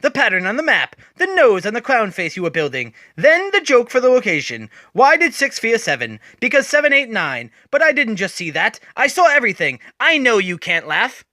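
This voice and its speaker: A man's voice: very high voice, wildly swooping pitch, moderate pace, hardly any pauses, sharp tone, dry recording, clean studio sound, heavily acted. A man voicing a smug, obsessive male puzzle-master villain, theatrical and lecturing, in a dramatic comic-book reading. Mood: smug, probing. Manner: theatrical, didactic, self-assured.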